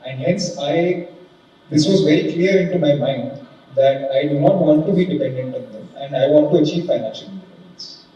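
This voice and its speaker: A man's voice: on the high side, moderate pace, warm tone. Earnest young man giving a talk. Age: 20s. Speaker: guest speaker, civil judge. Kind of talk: motivational talk. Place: large conference hall. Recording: echoey hall, distant and dull sound, faint noise.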